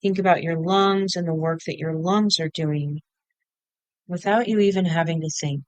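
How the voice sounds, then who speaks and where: A woman leading a guided meditation, giving gentle step-by-step instructions in short phrases with pauses between them. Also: fairly low pitch, steady tone, clear, resonant tone, dry recording. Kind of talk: guided body-scan meditation.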